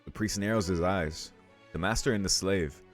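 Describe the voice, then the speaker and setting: A male let's-play host reads visual novel narration aloud. His voice is quite low, and soft music plays behind it. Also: moderate pace.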